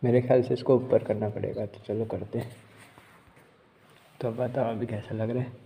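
A man's voice: medium pitch, level tone, normal speed, dark tone. A young man chatting casually to his phone as a vlogger, in a handheld recording. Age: young adult. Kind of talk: casual vlog chat to camera. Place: at home, moving between rooms, rooftop and yard. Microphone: handheld phone at arm's length. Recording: noisy handheld recording with ambient sound.

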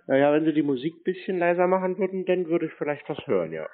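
A man's voice: fairly high pitch, big pitch swings, fast, no echo. A man chatting casually on the phone as a prankster, teasing people with feigned earnestness. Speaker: prank caller answering scam calls. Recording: narrow, tinny phone-like sound.

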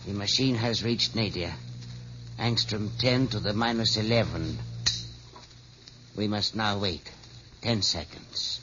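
A man's voice: on the low side, slow pace, long pauses, sharp tone. An actor playing a scheming, self-righteous male scientist informer in an old-time radio drama, on a muffled, noisy vintage broadcast. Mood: tense, urgent.

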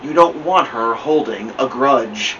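Guy doing kids storytime, expressive slow reading, kinda far from the mic w some hiss. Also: mid-range voice. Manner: deliberate, dramatic storytelling. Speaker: storytime host. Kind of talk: expressive children's picture-book reading. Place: indoor room at home, seated by a plant. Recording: muffled, noisy, distant camera mic.

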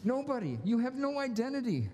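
An older man playing a gentle, earnest old guardian angel in a live radio play. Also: extremely high pitch, sing-song, fairly quick, a little hiss or hum. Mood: patient, earnest. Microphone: stand microphone on stage. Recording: reverberant school auditorium stage. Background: music.

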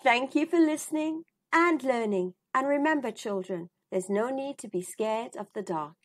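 High-pitched, animated woman reading to children. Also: moderate pace. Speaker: children's storybook reader. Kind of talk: read-aloud storytime narration for children.

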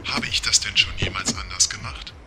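A man's voice: sits low, fairly quick, natural voice. A man playing a polite, pedantic male car computer in an audio drama, speaking in formal, courteous phrases. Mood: precise, matter-of-fact. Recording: loud music bed.

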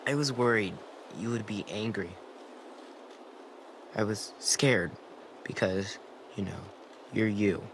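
A man voicing a timid, guilt-ridden gentle young boy in a video game, hesitant and apologetic. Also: lowish voice, slow pace, pauses often, noisy background. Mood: anxious. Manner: hesitant, soft-spoken, apologetic. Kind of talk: naturalistic video game dialogue acting. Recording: clear outdoor stream ambience.

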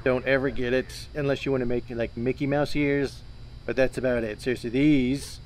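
A man streams a horror game with casual, joking commentary. He speaks in an ordinary mid-pitched voice and swears freely. Some ambient noise runs behind him. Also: little pitch movement, normal speed, darkish tone. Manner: joking, swearing, playfully chanting. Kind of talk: casual gaming chat and commentary.

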